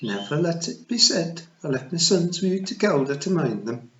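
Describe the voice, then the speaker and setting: A man voicing a tiny, shrewd old female pixie chieftain with a broad Scottish burr, warm, teasing and commanding. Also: fairly high pitch, big pitch swings, moderate pace, voice pushed up. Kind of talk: storybook reading. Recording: roomy, slightly dull recording.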